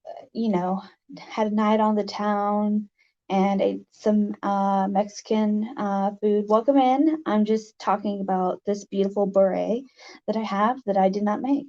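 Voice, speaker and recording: A livestream host with a raspy voice, chatting casually and haltingly with viewers, with many fillers. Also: medium pitch, dark tone, muffled recording.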